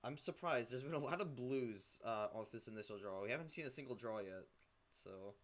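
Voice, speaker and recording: A young man with a low, fairly monotone voice, calling an online card game on stream in a relaxed, halting way. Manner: relaxed, hesitant, many fillers. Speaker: play-by-play commentator.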